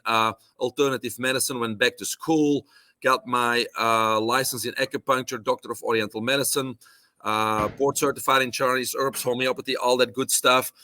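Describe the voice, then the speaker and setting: A man's voice: mid-range voice, sharp tone, no room sound. A middle-aged man from Belgium with a Belgian accent, talking as a guest in a casual interview, listing things off with many fillers. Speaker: guest, holistic nutrition doctor.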